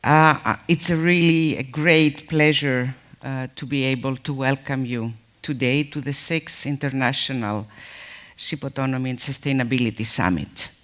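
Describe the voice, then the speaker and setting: A man giving a formal welcome address at a conference, measured and hesitant, with many fillers. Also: medium pitch, steady tone, a bit bright. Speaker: conference speaker.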